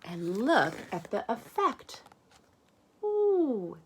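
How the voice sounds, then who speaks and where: A woman's voice: on the high side, very animated, extremely slow, a little hiss or hum. A woman reading a picture book aloud to young listeners, adding chatty asides, questions and exclamations. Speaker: read-aloud storyteller.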